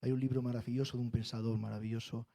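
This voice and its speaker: Mellow-voiced man lecturing on flamenco. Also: mid-range voice, little pitch movement, rapid-fire, creaky. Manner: intellectual, emphatic repetitions, builds arguments. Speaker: panel speaker, flamenco researcher. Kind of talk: public lecture-style talk.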